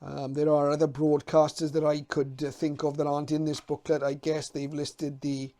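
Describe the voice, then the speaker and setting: A man's voice: on the high side, completely flat delivery, moderate pace, warm tone. A middle-aged man giving informal, unscripted commentary as a hobbyist presenter, hesitant and reminiscing as he goes.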